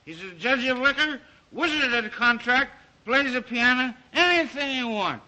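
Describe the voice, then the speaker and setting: An actor playing a tipsy, boastful American playboy, slangy and boisterous, in old comic-relief style. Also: male voice, very high voice, expressive, normal speed, pushed and bright, exaggerated voice, low-quality recording. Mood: chummy, boastful. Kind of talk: old film-era comic-relief acting.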